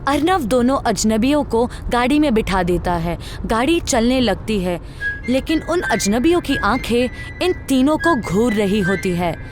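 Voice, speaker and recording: A woman's voice: a little high, expressive, measured pace. A woman narrating a scripted horror story. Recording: music under the voice.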